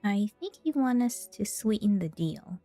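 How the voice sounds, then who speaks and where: An actress voicing a dry-witted young traveler with deadpan remarks. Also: female voice, medium pitch, measured pace. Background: game music.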